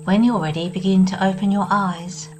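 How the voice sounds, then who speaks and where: A woman guiding a meditation in a soft, soothing voice, speaking in calm, unhurried phrases. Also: medium pitch, over music. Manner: soft, slow and soothing, with long pauses. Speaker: meditation guide. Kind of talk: guided meditation.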